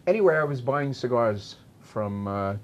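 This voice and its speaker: A man on a cigar review panel, telling a long story in relaxed, casual chat and laughing easily with the others. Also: medium pitch, moderate pace.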